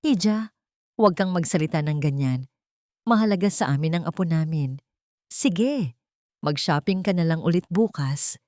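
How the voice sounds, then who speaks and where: Woman playing elderly wealthy matriarch, forced sweetness, placating, lively intonation, storybook drama. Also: lowish voice, normal speed, pauses often, no room sound, studio quality, natural voice. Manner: strained, placating.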